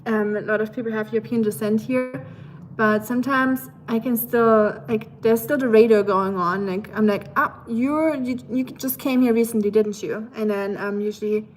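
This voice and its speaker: A young woman with a thick German accent chats through a livestream with a slightly high voice. She answers viewer messages in a loose, playful way and often laughs. A faint hiss of noise sits under her voice. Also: steady tone, moderate pace, rough recording. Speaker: livestream host. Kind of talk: casual chat reading viewer messages.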